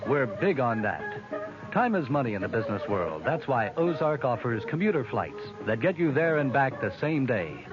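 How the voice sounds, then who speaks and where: Lively male radio ad announcer. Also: mid-range voice. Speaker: commercial announcer. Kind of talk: scripted vintage radio ad read. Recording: muffled old radio broadcast. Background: music.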